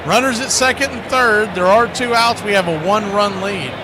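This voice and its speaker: A man's voice: sits high, moderate pace, background noise. A man doing live radio-style baseball play-by-play in clipped, punchy phrases with folksy slang.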